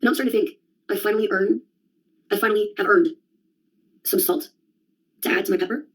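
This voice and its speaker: A woman's voice: medium pitch, brisk, rough recording. A young adult woman in a rambling solo monologue, sardonic and profane, jumping from one topic to the next. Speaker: vlogger.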